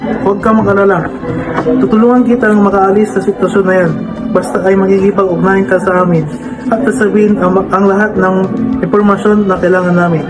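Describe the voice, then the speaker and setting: A protective male teacher, acted by a man in an amateur radio drama. He is earnest and reassuring, with a high-pitched voice over clear music. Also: level tone, fairly quick, natural voice, poor audio. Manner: earnest, formal.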